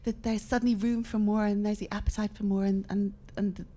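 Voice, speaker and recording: A woman's voice: mid-range voice, little pitch movement, a little fast, warm tone. A woman author, speaking earnestly and reflectively in a live literary panel conversation.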